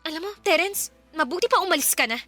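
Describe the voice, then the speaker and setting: Radio drama ex-girlfriend: young, cold, fed up, high and forceful, sarcastic. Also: lively intonation, fast talker, dry recording, soft background music, heavily acted. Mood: angry, reproachful. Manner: scolding, blunt, sarcastic. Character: Yeri, cold, exasperated young woman confronting her ex. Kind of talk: Filipino radio drama acting.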